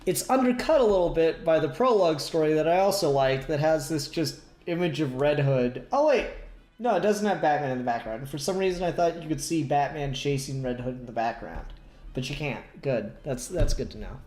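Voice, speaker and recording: A man's voice: fairly high pitch, lively intonation, brisk, a little mellow. Rambling man in an echoey room. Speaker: podcast co-host. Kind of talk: casual comic book discussion.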